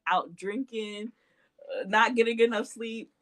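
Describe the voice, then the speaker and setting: A woman's voice: a little high, measured pace, a bit bright. A woman in her late twenties chatting casually as a podcast host, self-deprecating and laughing often. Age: 20s. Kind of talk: casual chat.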